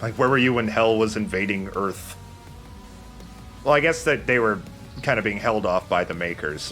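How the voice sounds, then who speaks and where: A man with a lowish voice talks casually about a video game, giving his opinions and swearing freely. Faint game music plays behind him. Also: fairly bright tone. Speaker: let's play commentator. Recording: background music under the voice.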